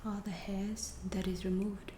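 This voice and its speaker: A woman voicing a calm, detached female alien scientist in an ASMR roleplay, whispering softly in a measured, instructional way. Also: mid-range voice, noisy background, close to an everyday voice. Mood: calm, clinical. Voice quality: soft, breathy whispering. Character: calm, clinical female alien scientist examining an abducted human.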